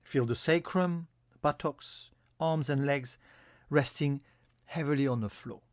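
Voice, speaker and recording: A man giving a guided yoga nidra meditation as an instructor, in a calm, soothing, instructional manner. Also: a little high, unhurried, no echo, clean studio sound.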